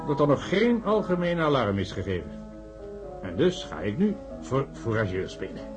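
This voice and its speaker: A practical, sceptical man voiced by an actor in a radio play, forever steadying others. His pitch moves in animated swings around a middle register, over clear background music. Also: normal speed, lightly acted. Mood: brisk, practical. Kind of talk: Dutch radio drama acting.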